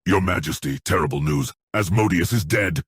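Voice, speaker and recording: A man voicing a deferential demon messenger who reports grim news formally and gravely in an audiobook. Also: normal speed, no echo, studio quality. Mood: grave alarm. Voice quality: husky, low.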